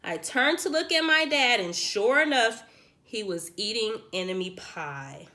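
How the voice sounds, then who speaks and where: A woman reading a children's picture book aloud in an expressive, theatrical way, like a teacher acting it out for young pupils. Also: a little high, unhurried. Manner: theatrical, acts out the dialogue.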